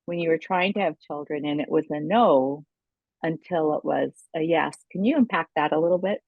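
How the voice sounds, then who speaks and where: Phone-like, lower-pitched woman interviewer. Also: moderate pace, no room sound. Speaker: podcast host, interviewer. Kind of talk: conversational interview. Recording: thin phone-like line, dry.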